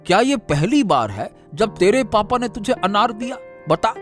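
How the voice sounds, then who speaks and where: A man's voice: sits high, big pitch swings, moderate pace, fairly bright tone, faint music behind. A man playing a bullying, scheming village orchard owner in a cartoon moral story, blustering and repeating his commands. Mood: probing, sly.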